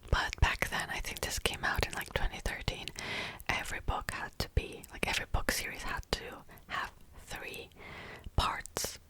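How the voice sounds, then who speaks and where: A woman's voice: moderate pace, bright, forceful tone. A young woman whispering a rambling, casual chat about books as an ASMR host, close to the mic, with many fillers. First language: Czech.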